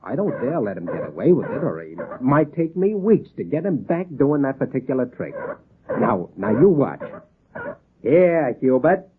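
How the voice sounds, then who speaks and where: A man playing a patient, folksy circus seal trainer, coaxing and a little halting, in a 1930s radio serial. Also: medium pitch, sing-song, slightly rough. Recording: tinny, band-limited old radio recording.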